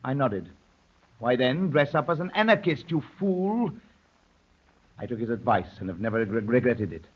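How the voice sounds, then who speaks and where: A man's voice: medium pitch, big pitch swings, normal speed, husky. A man playing a proud, intense anarchist poet, speaking with formal, declamatory self-importance in the manner of 1930s radio drama. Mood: fervent, confiding. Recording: muffled, worn 1930s radio recording.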